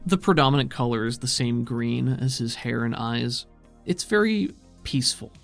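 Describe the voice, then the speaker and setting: A man's voice: mid-range voice, expressive, normal speed, vocal fry, a bit bright, soft background music. A man acting as game master, narrating scenes and giving casual rulings in a tabletop roleplaying game.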